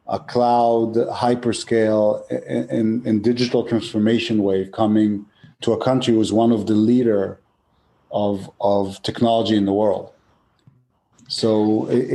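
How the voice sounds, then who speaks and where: Mellow-voiced male executive from Israel. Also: on the low side. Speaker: data center company executive. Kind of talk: interview answers.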